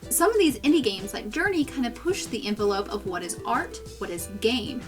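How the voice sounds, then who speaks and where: A woman's voice: a little high, very animated, brisk, music in the background. A woman co-hosting a video game podcast, earnest and thoughtful, laughing easily. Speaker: podcast co-host. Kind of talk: casual chat about video games.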